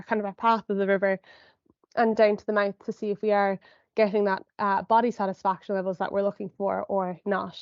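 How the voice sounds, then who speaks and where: A woman's voice: medium pitch, dry recording. Sniffly woman psychologist on muffled video call. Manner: explanatory, hedging with "I suppose" and frequent ums. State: sniffly, recovering from a cold. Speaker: sport and exercise psychologist presenter. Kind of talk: online educational talk for parents.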